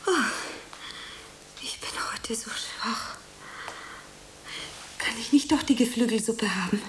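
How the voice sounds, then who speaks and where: A woman playing an eager, anxious young beginner actress in a radio play, earnest and theatrical, with dramatic pauses. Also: fairly high pitch, whispered. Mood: weary, plaintive. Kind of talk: German radio play acting. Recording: roomy, faint hiss.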